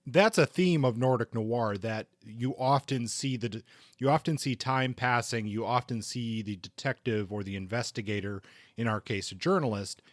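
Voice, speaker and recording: A man's voice: medium pitch, moderate pace, dry recording. Male podcast co-host, mid-range voice, very dry recording, talking books in an exploratory, conversational way. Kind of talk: conversational book discussion.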